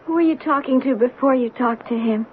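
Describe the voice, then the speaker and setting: An actress playing a troubled young woman in an old radio drama, flat and wary, quietly pressing with questions. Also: high voice, fairly quick, phone-quality sound, natural voice. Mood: withdrawn. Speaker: Carol, the daughter. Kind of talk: 1970s radio drama acting. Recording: old radio broadcast, phone-thin with hiss.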